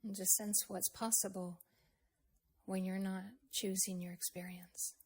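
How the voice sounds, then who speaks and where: A woman speaks as a meditation guide in a husky, breath-laden voice at a medium pitch. Her intonation stays flat and steady. She is gentle and takes her time, letting long pauses fall between short phrases. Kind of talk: slow guided meditation, with a poem recited.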